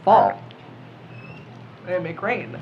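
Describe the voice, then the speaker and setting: A woman's voice: on the low side, big pitch swings, drawn-out words, over music. A teenage female podcast panelist reasoning aloud tentatively in a casual discussion. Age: teens. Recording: dull lo-fi audio, background noise.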